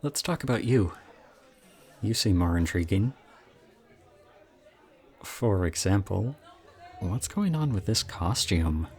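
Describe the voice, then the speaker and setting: A man acting in a roleplay audio drama as a charming, slightly creepy young college student, chatty, self-deprecating and softly teasing. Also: fairly low pitch, lively intonation, takes it slowly, pauses often, a faint crowd. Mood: playful, charming.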